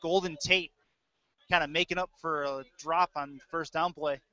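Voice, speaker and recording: A man's voice: on the high side, steady tone, normal speed, sharp tone, no room sound. A man doing live play-by-play football commentary, mixing clipped present-tense calls with casual asides. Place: indoor audio-only webcast. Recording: dull lo-fi audio.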